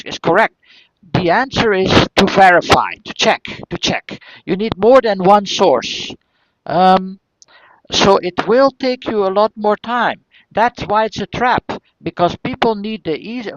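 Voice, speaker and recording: A man with a Flemish accent speaks in a notably high voice, caught in dull, dry lo-fi audio. He is an academic fielding seminar questions, often circling back over his words and asking rhetorical questions as he goes. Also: no room sound. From northern Belgium. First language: Flemish Dutch. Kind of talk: answering audience questions at an online seminar.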